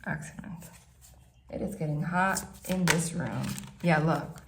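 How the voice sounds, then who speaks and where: Chatty northeastern American woman, lowish voice. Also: a little slow, background noise. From New Jersey / New York City area. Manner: chatty, rambling, frequent "like" and restarts. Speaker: tarot reader. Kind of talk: casual tarot reading to camera. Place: hot room at home.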